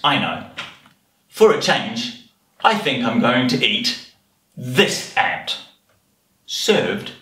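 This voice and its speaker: A hungry, scheming storybook anteater, acted out by a man, relishes every word with theatrical fuss. The delivery swings wildly in pitch and is bright and forceful, recorded a little distantly in a room. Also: heavily acted. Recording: roomy, slightly distant home recording.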